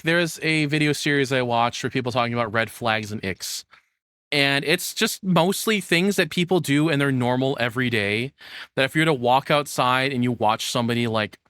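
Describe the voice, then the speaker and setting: A man's voice: medium pitch, fairly bright tone, clean tone, dry recording, studio quality. A young man doing casual reaction commentary as a streamer, rambling as he thinks aloud. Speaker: VTuber streamer reacting to a video.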